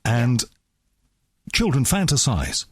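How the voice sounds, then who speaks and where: A man's voice: mid-range voice, huge pitch swings, slow pace, husky, no room sound, clean studio sound. A man hosting a radio talk show, questioning guests in a probing, skeptical way. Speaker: radio host. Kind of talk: radio interview.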